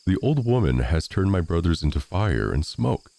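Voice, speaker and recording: Man voicing a friendly storybook straw, lively lilt. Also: low-pitched, moderate pace, vocal fry, exaggerated voice. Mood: earnest. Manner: formal, old-fashioned storybook dialogue. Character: talking straw, friendly, lucky survivor with ideas.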